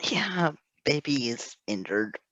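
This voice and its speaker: A woman's voice: extremely low pitch, big pitch swings, slow, a bit bright, dry recording. A woman making casual, amused comments as a co-reader during a story. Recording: dull, close dry recording.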